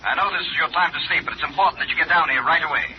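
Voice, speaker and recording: An actor playing a brusque, urgent store boss through a telephone filter in a 1940s radio drama. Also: male voice, a little high, racing. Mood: urgent, curt. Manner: curt, urgent. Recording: muffled, noisy vintage radio phone line.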